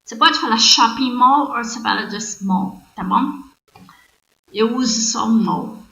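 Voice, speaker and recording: A woman's voice: fairly high pitch, normal speed, creaky, sharp tone. A woman with a Brazilian accent, a native Portuguese speaker, teaching an English lesson and spelling out sentences word by word in an explanatory way. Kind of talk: bilingual online grammar lesson. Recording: roomy, muffled lo-fi audio with faint noise.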